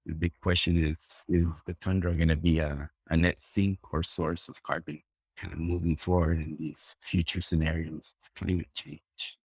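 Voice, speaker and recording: Male interview guest, low and deep, dry mic, thinks aloud with fillers. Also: no room sound. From Costa Rica. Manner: hesitant, many fillers and restarts. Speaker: guest plant ecologist. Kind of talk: casual interview talk.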